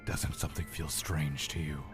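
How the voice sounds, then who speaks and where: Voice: rumbling low, level tone, moderate pace, music in the background. An actor voicing an ominous, wary spirit-like companion with a terse, foreboding manner in an audio drama.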